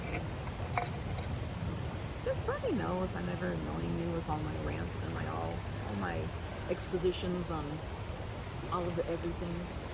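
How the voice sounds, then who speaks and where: Woman playing a chatty, animated best friend, noisy ambience. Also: on the low side, gravelly, exaggerated voice. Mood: apologetic, affectionate. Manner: rambling, animated, frequent self-interruptions and tangents. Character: chatty, self-deprecating, affectionate female best friend rambling to a listener. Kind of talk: casual ASMR roleplay monologue.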